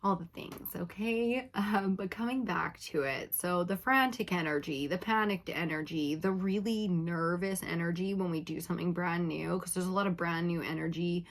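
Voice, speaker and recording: Woman tarot reader, lowish voice, slightly raspy, chatty and laughing, casual talk to viewers. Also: normal speed, few pauses. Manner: chatty, laughing, uses filler like "okay?". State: slightly raspy from long talking.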